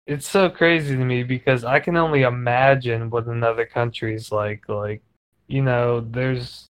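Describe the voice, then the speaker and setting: A man's voice: mid-range voice, low-quality recording. A man hosting a podcast, speaking in a friendly, informal way with casual fillers. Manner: friendly, informal, uses filler words like "like" and "you know". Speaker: podcast host.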